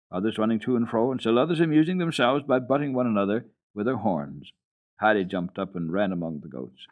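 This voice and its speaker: A man's voice: fairly low pitch, a little mellow. A man giving a dramatic storybook reading of a children's classic as a radio storyteller.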